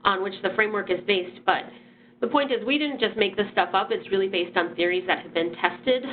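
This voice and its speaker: A woman hosts a webinar and walks through a presentation. She sounds a bit nasal from a sinus infection and talks in a friendly way, with frequent ums and restarts. Her voice comes through a thin, phone-like line at a mid pitch. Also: a little fast, slight background noise. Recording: phone-like audio.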